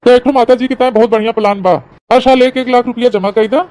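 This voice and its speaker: A woman's voice: a little high, fairly even intonation, fast, dark tone, natural voice. A woman voicing a patient, persuasive village insurance agent, a man, in an Awadhi comedy cartoon, explaining things in colloquial salesman style with an Awadhi accent.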